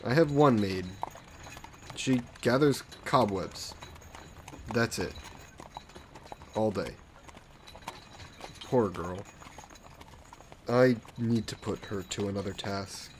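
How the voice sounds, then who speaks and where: A man's voice: on the low side, slow, long pauses, a little mellow, a little hiss or hum. A man playing an aristocratic vampire lord in an audio roleplay, suave, smug and condescending, with a genteel, coaxing, possessive manner. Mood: coolly amused. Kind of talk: scripted roleplay audio drama monologue.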